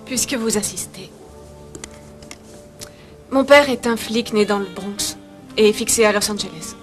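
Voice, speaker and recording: A woman's voice: mid-range voice, measured pace, music in the background, close to an everyday voice. A female actor playing a self-possessed landlady in French-dubbed thriller film dialogue, direct, polite and conversational. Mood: friendly, curious. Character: self-possessed, friendly but brisk American landlady.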